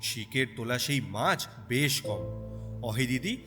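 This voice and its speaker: A man tells a story in a scripted, expressive style. His medium-pitched voice swings widely in intonation. It carries a long reverb, and music with cricket sounds plays clearly behind him. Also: slow. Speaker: story narrator.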